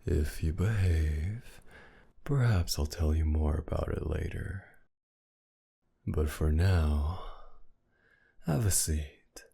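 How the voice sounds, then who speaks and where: A man playing a mocking, menacing masked villain kidnapper in an intimate ASMR roleplay, with a gravelly, breathy murmur that often sinks toward a whisper. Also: very deep voice, dark tone, full character voice. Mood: sardonic, teasing. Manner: taunting, commanding, slow and teasing.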